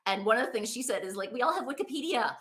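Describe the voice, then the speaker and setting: A woman's voice: on the high side, lively intonation, very fast, fairly bright tone. A woman hosting a casual conversational interview about books, chatting as a fellow author. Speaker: podcast host, author.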